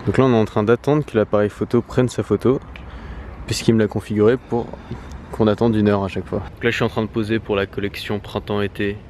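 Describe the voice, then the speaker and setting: A man's voice: on the low side, level tone, fast, over music. A man with a Parisian French accent chatting casually as a vlog host, joking and tongue-in-cheek, recorded outdoors on a handheld camera. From France, Paris. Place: outdoors in a city park at night. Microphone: compact camera held by the speaker.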